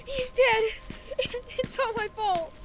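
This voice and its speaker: A woman's voice: very high-pitched, full character voice. A woman playing an earnest, emotional young adventurer in an amateur audio drama. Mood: grieving, crying.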